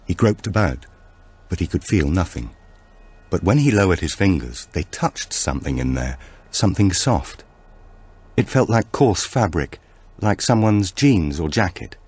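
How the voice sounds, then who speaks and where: A man narrating a suspense thriller audiobook in a measured, dramatic manner. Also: low-pitched, very animated, a little mellow, breathy edge.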